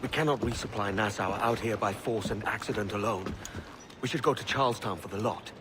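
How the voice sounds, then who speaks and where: An actor playing a pragmatic, firm pirate planner in a video game. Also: male voice, lowish voice, fairly quick, lightly acted. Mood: resolute. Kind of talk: video game cutscene acting. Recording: noisy outdoor ambience.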